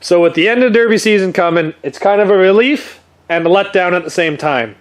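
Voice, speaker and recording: A young man with a noticeably high voice talks casually. He is stuffy and sniffling from a cold. His speech rambles along, full of easygoing fillers. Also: a little fast. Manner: laid-back, rambling, frequent "uh" fillers. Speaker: demolition derby builder and vlogger. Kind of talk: casual vlog chat. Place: home workshop or garage.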